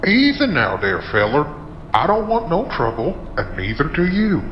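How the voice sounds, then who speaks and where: A man plays an elderly rustic fellow in a noir audio drama, high in pitch and very animated in melody. He is calm, folksy and wary, heard in a roomy space. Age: older. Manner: folksy, drawn-out rustic phrasing. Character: calm, wary old man guarding his hallway. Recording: roomy, dull sound with faint noise.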